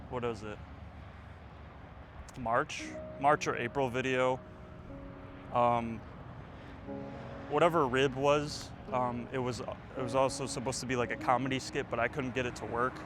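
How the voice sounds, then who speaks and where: A man's voice: medium pitch, noisy background. A man in his twenties vlogging outdoors, rambling and reflective, with lots of fillers. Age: 20s. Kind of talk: casual reflective vlog. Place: outdoors, windy.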